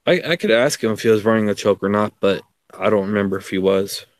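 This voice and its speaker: A man chatting casually as a livestream guest, with a halting, self-deprecating way of talking. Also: fairly low pitch, dry recording, studio quality. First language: English. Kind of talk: casual chat.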